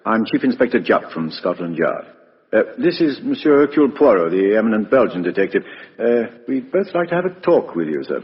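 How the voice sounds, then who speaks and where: An actor playing a genial, probing British chief inspector in a 1950s radio drama, colloquial and businesslike. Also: male voice, on the low side, big pitch swings, a little fast. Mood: politely probing. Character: brisk, genial but probing male police chief inspector. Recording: muffled, reverberant vintage radio recording.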